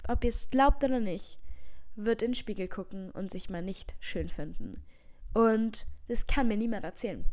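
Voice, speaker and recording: A woman's voice: medium pitch, darkish tone. A young woman in her twenties, a vlogger with a slight cold, talking earnestly into a handheld mic at home, rambling and reassuring with many fillers. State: has a cold. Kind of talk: casual heartfelt advice monologue. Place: living room at home, seated in armchair. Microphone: handheld microphone.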